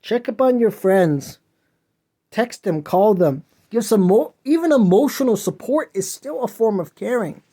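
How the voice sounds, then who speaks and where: A man's voice: sits high, lively intonation, measured pace, dark tone, husky. A man hosting a podcast, delivering an impassioned solo monologue in a whiny voice, earnest and preachy as he pleads with listeners. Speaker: podcast host.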